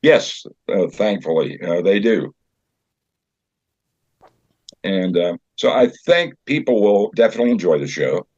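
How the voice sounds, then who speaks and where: Older guy telling stories in an interview, lowish voice, kinda muffled but dry sound. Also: expressive, unhurried, pauses often, a little mellow, slightly rough, no room sound. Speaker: guest, community theater director and actor. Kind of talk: conversational interview, recounting anecdotes. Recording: slightly dull, dry recording.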